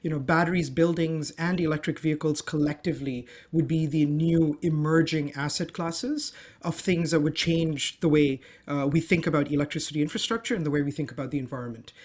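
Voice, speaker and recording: A man from Dubai with a slightly high voice, answering interview questions over a remote call. He explains with energy, sprinkling in "um" and "you know.". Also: steady tone, a little fast, hardly any pauses, a little mellow. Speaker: guest, company co-founder. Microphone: calling in remotely.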